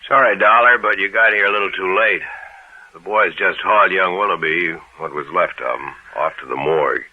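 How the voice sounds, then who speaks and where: An American man playing a gruff, plain-spoken police sergeant in an old-time radio drama, talking in a casual, folksy, matter-of-fact way. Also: deep voice, a little fast. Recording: narrow, tinny old radio recording.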